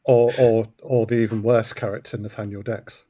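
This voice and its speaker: A man's voice: on the low side, level tone, normal speed, warm tone. A man chatting casually as an interview guest, speaking in short, understated interjections. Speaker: guest, audio drama co-creator. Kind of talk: casual chat.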